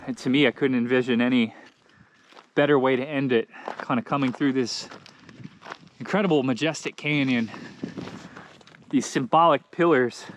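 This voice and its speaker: Guy in his 40s talking to his camera on a desert hike, thoughtful, trails off a lot, outdoor noise behind. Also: mid-range voice. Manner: musing, unhurried, pausing mid-sentence. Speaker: thru-hiker vlogger. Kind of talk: reflective trail vlog. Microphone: action camera held at arm's length.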